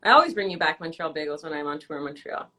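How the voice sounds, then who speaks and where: A woman's voice: sits low, expressive, fast, bright, forceful tone. A young woman from Canada hosting a casual live-stream interview, teasing her guest and laughing often. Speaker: host.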